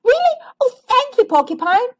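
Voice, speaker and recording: A woman voices a little female squirrel from a storybook. It is sweet and excitable, pitched very high with swooping, emphatic words. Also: normal speed. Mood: thrilled, grateful.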